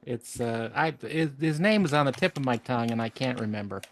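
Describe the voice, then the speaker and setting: A man's voice: mid-range voice, animated, brisk. A man co-hosting a podcast with casual banter and dry joking. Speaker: podcast co-host.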